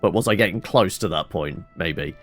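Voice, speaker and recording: A man's voice: low voice, moderate pace, fairly bright tone. A man hosting a let's play, who rambles and corrects himself as he mutters through his inventory. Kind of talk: casual gameplay commentary. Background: game music.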